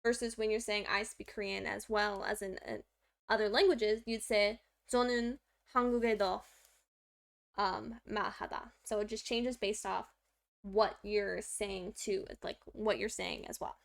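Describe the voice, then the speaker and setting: A woman's voice: steady tone, moderate pace, creaky. A young woman streaming her Korean study, explaining grammar in a chatty, self-deprecating way with lots of fillers. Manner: talks to a pet in a high baby voice.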